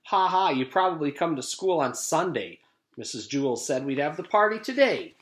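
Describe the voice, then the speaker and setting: A man voicing an earnest, indignant young schoolboy with an insistent, excitable manner in a children's story. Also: a little high, normal speed. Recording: echoey room.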